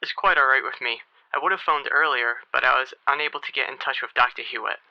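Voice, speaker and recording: A man's voice: medium pitch, fast, no room sound, natural voice. A man playing a courteous, businesslike doctor in an old-time radio soap, heard as if over a phone call. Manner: polite, businesslike. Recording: dull, band-limited, like a phone call.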